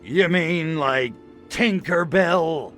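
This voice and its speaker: A man voicing a cocky, wisecracking little alien invader in a video game, sardonic and slangy with a gravelly voice. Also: on the high side, extremely slow, a bit bright, faint music behind. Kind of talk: video game cutscene acting.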